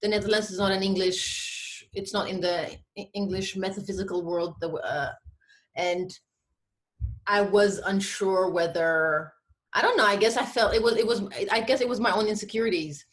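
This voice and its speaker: A woman's voice: medium pitch, normal speed. A woman from Ethiopia chatting as an interview guest, hesitant and self-deprecating, often restarting her thoughts. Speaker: podcast guest, literary editor and prize judge. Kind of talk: casual interview chat.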